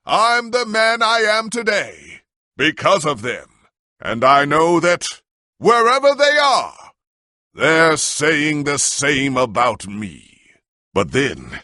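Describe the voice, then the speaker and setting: An actor playing a proud warrior hero in a video game, with a pompous, theatrical, commanding way of speaking. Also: male voice, high-pitched, sharp tone, dry recording, clean studio sound, full character voice. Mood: proud, earnest, then grave, subdued. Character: proud, grandiose warrior hero, an adult man. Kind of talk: dramatic game dialogue and heroic speeches.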